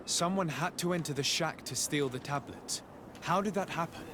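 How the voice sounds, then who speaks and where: An actor playing a polite, composed noble envoy in a video game, formal, courteous and inquiring. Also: male voice, on the high side, normal speed, background noise, close to an everyday voice. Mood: probing. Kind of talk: video game cutscene acting.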